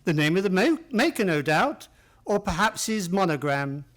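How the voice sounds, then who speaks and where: Radio drama loyal gentleman sidekick: high male voice, very expressive, inquisitive, mildly hesitant. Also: normal speed. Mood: puzzled, pondering. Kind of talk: radio play acting.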